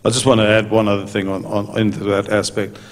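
Low, flat-voiced businessman in a hall. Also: fast talker. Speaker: maintenance company executive on a panel. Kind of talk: conference panel answer. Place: large conference hall stage. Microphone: handheld mic. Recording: long hall reverb.

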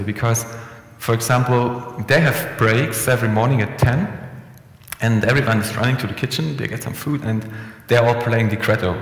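A young man giving a relaxed, conversational conference talk with frequent restarts and fillers, speaking into a headset mic in a conference room. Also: medium pitch, vocal fry. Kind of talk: conference presentation. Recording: echoey hall with long reverb.

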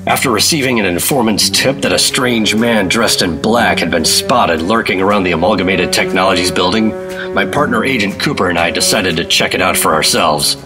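A hard-boiled 1950s government agent played by a man narrates a radio serial in a very low voice. He is dry, laconic and steady, with prominent music running behind him. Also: a little fast, close to an everyday voice. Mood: wry, tense. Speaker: lead actor narrating. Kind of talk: 1950s radio drama first-person narration. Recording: voice over prominent background music.

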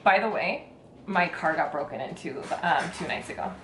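A woman's voice: on the low side, animated, moderate pace, fairly bright tone. A woman in her thirties chatting casually and jokingly as a vlog co-host, recorded indoors from a few feet away. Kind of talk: casual comedic chat between friends. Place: small room at home, indoors. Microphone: camera a few feet away. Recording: roomy echo, faint background noise.

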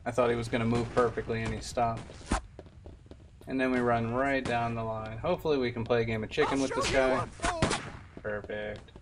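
A man's voice: medium pitch, steady tone, moderate pace, pauses often, background noise. A young man, a game streamer, giving casual speedrun commentary. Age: young adult. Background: game music.

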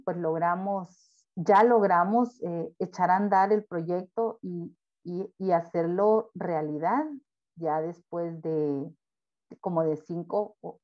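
A woman, speaking as a panelist in a webinar presentation, earnest and halting with frequent fillers. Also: medium pitch, dull audio.

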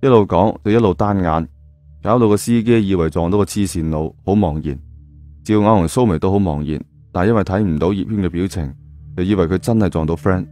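A man's voice: low voice, a little fast, dark tone, faint music behind. A man narrating an audio drama in a colloquial storytelling style.

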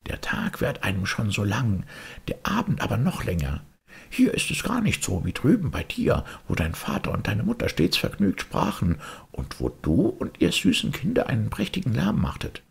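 Man as a plaintive, high-voiced tin soldier. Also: wildly swooping pitch, normal speed, creaky, husky, exaggerated voice. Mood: despairing. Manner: plaintive, lamenting. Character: lonely, despairing little tin soldier toy.

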